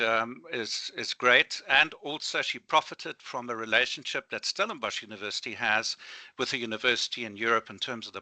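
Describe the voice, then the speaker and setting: A man from South Africa joins a video call to put a question to a professor. His voice is bright and forceful and sounds dry and close. He rambles, with plenty of ums and uhs. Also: mid-range voice, no echo. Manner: rambling with many fillers. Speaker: alumnus asking a question. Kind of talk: casual remarks on a video call. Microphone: home computer setup on a video call.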